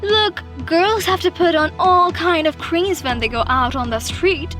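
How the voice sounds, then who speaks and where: Animated story role: woman as self-absorbed teen girl, very high voice, boastful and whiny, over a music bed. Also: unhurried. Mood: smug, boastful. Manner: boastful, dismissive, whiny when playing helpless. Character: bratty, self-absorbed teenage pick-me girl, feigning sweetness.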